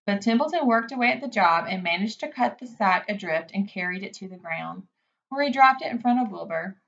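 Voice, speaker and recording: A woman reading a children's book aloud as an expressive narrator. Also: mid-range voice, fairly quick, creaky, muffled recording.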